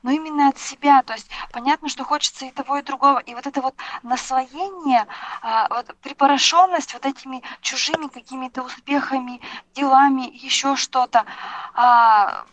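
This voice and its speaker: A woman's voice: sits high, moderate pace, fairly bright tone, no echo. A woman reflecting conversationally in a discussion, searching for words and repeating key points for emphasis.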